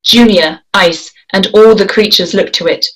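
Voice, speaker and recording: Woman, mid-pitched, measured screenplay table read. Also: fairly bright tone, husky, poor audio. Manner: measured, descriptive reading. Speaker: script reader.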